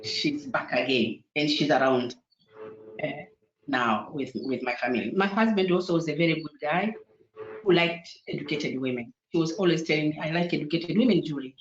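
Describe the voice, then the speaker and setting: A woman's voice: sits low, normal speed, a little hiss or hum. A woman from Tanzania whose first language is Sukuma, speaking as a guest on an online panel, with hesitant speech full of fillers and restarts. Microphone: video call. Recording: roomy, dull video call audio.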